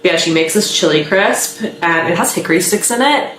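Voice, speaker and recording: A young woman talks casually to her viewers, her voice a little low and a bit stuffed up from a sore throat. She rambles from one aside to the next in an upbeat, chatty way. The room around her is echoey, with a faint hum of ambient noise behind her. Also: expressive, moderate pace, a bit bright. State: sniffly, slightly sore throat. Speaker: vlogger. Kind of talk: casual chat to camera.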